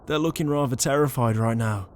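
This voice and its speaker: A man's voice: medium pitch, fairly quick, slight background noise, lightly acted. A man playing an exasperated, conscientious ghost in a comedic audio roleplay, flustered, hesitant and pleading. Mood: anxious, flustered. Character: exasperated, conscientious male ghost, murdered shut-in.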